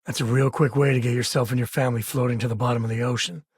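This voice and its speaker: An actor playing an easygoing, middle-aged space cowboy and devoted father in a video game, with a husky, rough-edged voice and folksy, dryly humorous phrasing. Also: mid-range voice, rapid-fire, no room sound. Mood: wary, blunt. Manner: folksy, relaxed phrasing with dry humour. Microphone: close dry studio mic.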